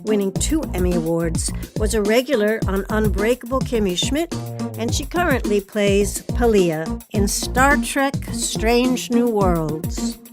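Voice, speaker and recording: Casting: female program host, mid-pitched, scripted intro read over loud music. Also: animated, a little slow. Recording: prominent music under the voice.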